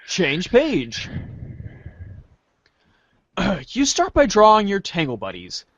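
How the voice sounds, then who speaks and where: High, swooping theatrical male narrator. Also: huge pitch swings, slow pace, a bit bright, no room sound, dull audio. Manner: theatrical, playful. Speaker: podcast narrator reading a webcomic aloud. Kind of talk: animated dramatic reading, second-person narration.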